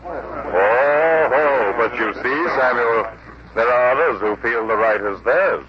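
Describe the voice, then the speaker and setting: A man's voice: mid-range voice, animated, slow. An actor playing a pompous, self-important man of standing in a theatrical 1940s radio drama style. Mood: smug, sly. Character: pompous self-important male farm overseer and host of guests. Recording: muffled, noisy 1940s radio recording.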